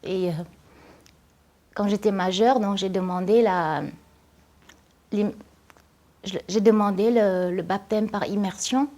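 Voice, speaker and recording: Soft-toned woman from Vietnam, halting. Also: mid-range voice, fairly even intonation. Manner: hesitant, many fillers and restarts. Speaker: interviewee. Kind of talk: personal testimony.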